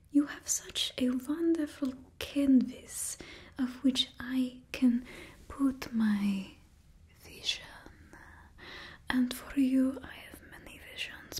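A woman's voice: fairly high pitch, dark tone, slightly rough, exaggerated voice. A young woman voicing a fussy, theatrical supervillain fashion stylist in a put-on Russian accent, whispering close to the mic for ASMR. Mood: doting. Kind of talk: ASMR roleplay. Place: small indoor studio, painted backdrop. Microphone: close studio mic.

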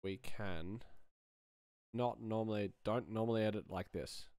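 Low-voiced man thinking aloud, dry sound. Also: a little slow, darkish tone, no room sound. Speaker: sound designer, tutorial host. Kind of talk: casual screen-recorded demonstration, thinking aloud.

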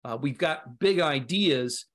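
A man's voice: on the high side, takes it slowly, fairly bright tone. A middle-aged man speaking casually as a creative guest in a video call interview. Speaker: guest, audio drama creator. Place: indoor room on a video call.

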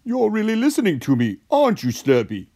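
A man's voice: high voice, wildly swooping pitch, fairly quick, warm tone, voice pushed up, heavily acted. A man voicing a proud, encouraging male coach and friend in a children's storybook. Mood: proud, instructive. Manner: instructive, encouraging.

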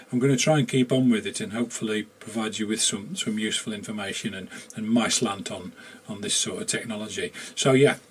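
A middle-aged man with a slightly husky voice chats casually and hesitantly as he presents his electronics hobby in an unscripted way. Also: mid-range voice. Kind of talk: casual demonstration and chat to camera. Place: small home workshop indoors.

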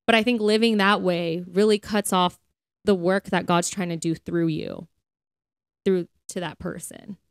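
A woman's voice: fairly low pitch, fairly even intonation, measured pace, clear, resonant tone, dry recording, studio quality. A woman co-hosting a casual faith podcast, speaking conversationally and acting out the remarks she quotes. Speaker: podcast co-host.

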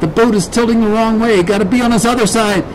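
Man playing an urgent, high-pitched young boy. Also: fast, background noise, close to an everyday voice. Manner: urgent, exclamatory. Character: brave, anxious young boy sailing with his dad.

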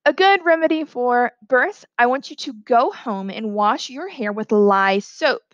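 Woman acting a high-pitched, flustered lady schoolteacher. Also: lively intonation, unhurried, dry recording, highs cut off. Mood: polite, instructive. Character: frightened, flustered young female schoolteacher, polite and proper.

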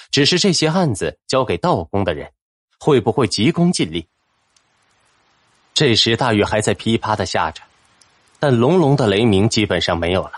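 A man's voice: on the low side, no echo, studio quality. A man narrating a fantasy novel in a dramatic, scripted storytelling style. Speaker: audiobook narrator.

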